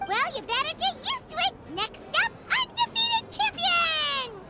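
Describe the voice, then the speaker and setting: A woman voicing a tiny, excitable, childlike fairy companion in a video game, cheerful and chatty. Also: extremely high pitch, measured pace, exaggerated voice. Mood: teasing, excited. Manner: speaks of self in third person. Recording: loud game music behind.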